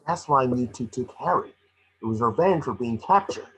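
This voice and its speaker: A man's voice: medium pitch, expressive, normal speed, a bit bright, a little hiss or hum, natural voice, low-quality recording. A man reading the part of a wisecracking creature detective with amnesia in an online script table reading. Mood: pondering.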